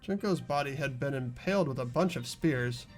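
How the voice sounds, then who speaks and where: A man's voice: medium pitch, animated, moderate pace, faint music behind, close to an everyday voice. A man playing an earnest teenage boy student who narrates a game story in a dramatic manner. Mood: grave.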